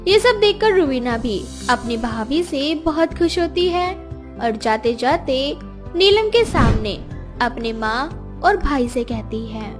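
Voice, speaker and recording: A woman's voice: high voice, animated, slow, music in the background. A woman narrating a scripted moral story as a storyteller.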